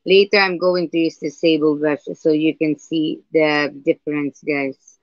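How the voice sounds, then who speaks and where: A woman with a husky voice hosting a live painting tutorial, talking slowly and hesitantly with many fillers and restarts. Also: low-pitched, steady tone, no echo. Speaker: art livestream host. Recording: dull lo-fi livestream audio.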